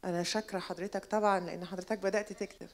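Fast-talking Egyptian woman in her 40s. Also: medium pitch, steady tone. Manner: rapid, insistent, rhetorical questioning. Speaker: audience questioner, journalist. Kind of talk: impassioned public question. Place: lecture hall audience.

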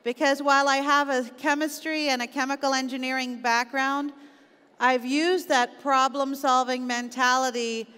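A middle-aged woman with a Canadian accent giving a motivational keynote, speaking deliberately and stressing key words. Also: high voice, a bit bright, clear, resonant tone. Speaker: conference keynote speaker, business executive. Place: on stage in a large auditorium. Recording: echoey large hall, long reverb.

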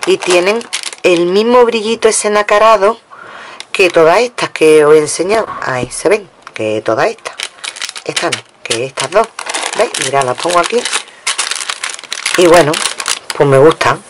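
A woman's voice: on the low side, expressive, moderate pace, long pauses. A woman from Spain casually showing a craft-supply haul at her table, chatting to viewers and counting items aloud. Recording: noisy home recording with crinkling packets.

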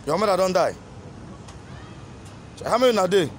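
A high-pitched man with a Nigerian accent conducts an informal interview, echoing answers back as questions. It is a phone recording made outdoors, with clear street and vehicle noise behind him. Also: lively intonation, moderate pace. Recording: noisy outdoor phone video.

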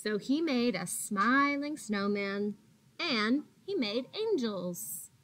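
A woman teacher reads aloud to small children. Her voice sits a little high and she takes her time, stretching words expressively as she tells the story. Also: lively intonation, slow, fairly bright tone. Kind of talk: picture-book read-aloud for young children. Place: small indoor classroom. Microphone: laptop or webcam at arm's length.

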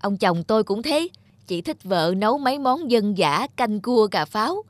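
A woman playing a chatty, gossipy married neighbour in an animated drama dub. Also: mid-range voice, moderate pace, dry recording, close to an everyday voice. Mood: sighing, gossipy.

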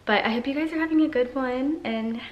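A woman's voice: high voice, a little fast, dull audio. An American woman in her thirties chatting casually to camera as a vlogger, in an informal way full of "like". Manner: informal, peppered with "like". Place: indoors, large high-ceilinged house room. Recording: echoey room, faint noise.

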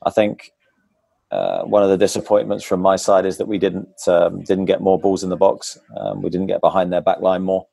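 A man in his forties, a football manager, answering post-match press questions over a video call through a wired earphone mic, measured and hesitant, often pausing with ums. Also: sits low, flat delivery, creaky, dark tone, husky, dry recording. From England. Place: club press room before a sponsor board. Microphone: wired earphone headset on a video call.

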